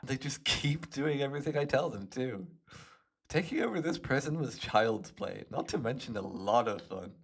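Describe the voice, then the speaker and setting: Man playing a swooping, creaky-voiced gleeful supervillain. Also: medium pitch, expressive, normal speed. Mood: smug, gloating. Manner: gloating, teasing, theatrical, swooping between murmurs and exclamations. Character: gleeful hypnotist supervillain, smug, playful escaped prisoner. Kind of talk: ASMR roleplay, addressing the listener.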